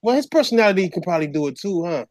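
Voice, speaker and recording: Laid-back stammering man, fairly high. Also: sing-song, rapid-fire, darkish tone, dry recording, studio quality. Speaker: podcast co-host. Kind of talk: casual gaming chat.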